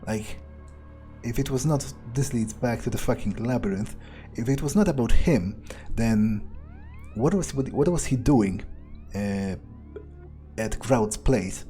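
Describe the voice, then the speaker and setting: A man giving in-character game commentary, musing aloud in a halting, sardonic and profane way. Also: mid-range voice, expressive, mellow, faint music behind. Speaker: gamer playing in character.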